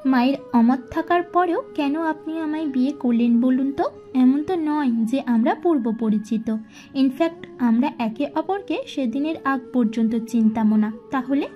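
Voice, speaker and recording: Woman as timid young bride, romance audio drama, high mellow voice, dull recording. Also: normal speed, faint music behind, close to an everyday voice. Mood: hesitant. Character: shy, self-doubting young bride. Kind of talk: audio story acting.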